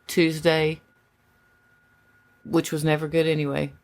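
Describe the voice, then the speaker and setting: A woman co-hosting a casual podcast, bantering and teasing, her voice a little gravelly from a sore throat. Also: low voice, completely flat delivery, unhurried, a little mellow. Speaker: podcast co-host. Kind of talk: casual chat.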